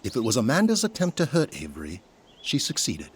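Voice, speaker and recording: A man's voice: mid-range voice, wildly swooping pitch, fairly quick, breathy edge. A man reading scripted dramatic narration for an audio drama in a descriptive storytelling manner.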